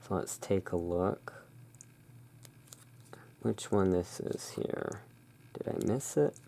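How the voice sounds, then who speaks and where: A person speaking in a halting, musing way, explaining things as the owner of a gothic curiosity shop in an ASMR roleplay. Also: sits low, creaky, a little mellow. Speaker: roleplaying host. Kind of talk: ASMR roleplay, showing tarot cards.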